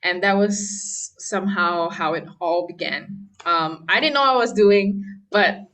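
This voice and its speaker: A woman's voice: on the low side, measured pace, fairly bright tone. A young woman from Malaysia, a pianist, chatting casually in an interview with plenty of fillers and laughs. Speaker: guest pianist.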